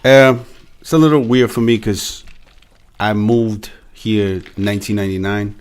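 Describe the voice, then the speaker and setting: Low voiced guy from ex-yugoslavia, chatting casually w friends, slangy, tells his own story. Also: fairly even intonation, normal speed, clear, resonant tone. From former Yugoslavia, grew up mostly in Serbia. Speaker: podcast cast member. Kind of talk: casual group discussion, personal storytelling.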